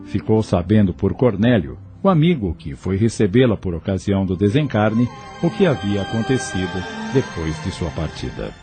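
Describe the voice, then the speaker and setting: A man's voice: fairly low pitch, sing-song, normal speed, few pauses. A man narrating a scripted audio novel as a storyteller. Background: instrumental music.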